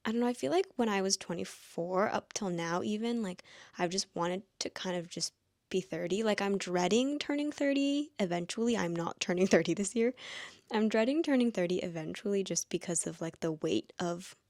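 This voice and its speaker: A woman's voice: mid-range voice, moderate pace, a little mellow, no echo, studio quality. A woman in her late twenties musing aloud in a casual vlog, rambling with lots of "like" fillers. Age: late 20s. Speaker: vlogger. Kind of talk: reflective casual monologue.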